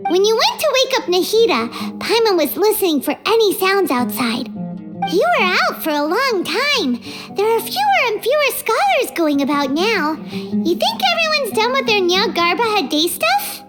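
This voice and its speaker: A woman voicing a tiny, excitable floating fairy companion in a video game, squeaky, bubbly and eager. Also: moderate pace, over loud music. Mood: excited, chatty.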